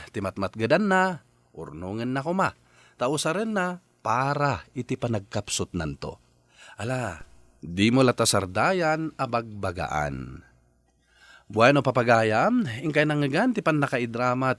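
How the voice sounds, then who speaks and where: A man with a Filipino accent hosting a radio drama programme, giving advice and credits in a measured, emphatic way. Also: medium pitch, fairly bright tone, dry recording. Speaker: radio drama host and letter advisor.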